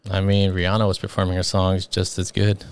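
A man's voice: low voice, monotone, fairly quick, clear, resonant tone. A man co-hosting a podcast in casual chat, easygoing and hesitant, his sentences full of fillers and restarts. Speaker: podcast co-host.

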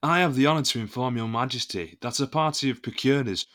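An actor playing a proud, indignant musketeer captain in an audio drama, formal and oratorical. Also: male voice, medium pitch, fast talker, fairly bright tone, natural voice. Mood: formal indignation.

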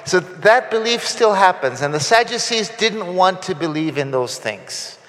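A man's voice: sits high, animated, measured pace, fairly bright tone. Man preaching in an echoing church. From Costa Rica. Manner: conversational, with frequent fillers and restarts. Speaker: guest preacher. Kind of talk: sermon. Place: church sanctuary.

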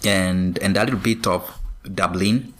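A man from Kenya, a former professional runner, answering interview questions in a measured way with frequent pauses. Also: deep voice, steady tone. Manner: measured, many pauses and ums.

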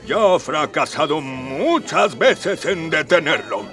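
A man's voice: fairly high pitch, big pitch swings, recorded from a distance, over music, a crowd in the background. An actor playing a pompous, aggrieved emperor and father in a scripted radio drama, speaking in a theatrical, grandiose way. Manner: theatrical, drawn-out, grandiose speech.

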